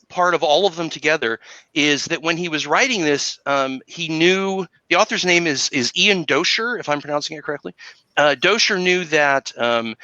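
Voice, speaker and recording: A man with a fairly high, very bright and sharp voice talks casually on a panel. He sounds playful and a little theatrical, in a dry recording. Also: no room sound, dull audio. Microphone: video call.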